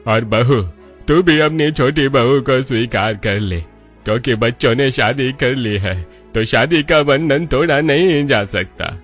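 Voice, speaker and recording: A man voicing an elderly, authoritative, grumbling grandfather in a cartoon family story, in a measured instructing manner. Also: medium pitch, fairly bright tone, soft background music, close to an everyday voice. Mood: firm, counselling.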